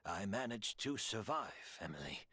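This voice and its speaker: An actor playing a weary, resigned scholar in a video game, speaking in a halting, deliberate way. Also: male voice, on the low side, sing-song, pushed and bright, husky, dry recording, studio quality, heavily acted. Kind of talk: dramatic game voice acting. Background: game music.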